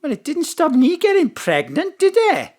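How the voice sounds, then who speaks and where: A man's voice: very high voice, wildly swooping pitch, normal speed, a little mellow, exaggerated voice. A man voicing a blunt, folksy middle-aged Welsh mother who muddles her words in a comic audio drama. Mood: bitter, wry. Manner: folksy, dialect grammar.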